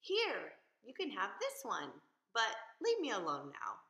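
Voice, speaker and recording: Woman voicing a bright, haughty, vain fish. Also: medium pitch, wildly swooping pitch, measured pace, roomy sound, heavily acted. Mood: grudging. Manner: haughty, emphatic. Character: proud, vain, selfish beautiful male fish. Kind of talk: children's storybook character voice.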